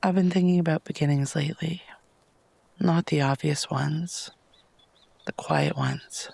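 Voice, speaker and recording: A man softly narrating a guided meditation, gentle and unhurried, with long pauses and breathy phrases that lapse into near-whispers. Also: on the high side, sing-song, no room sound.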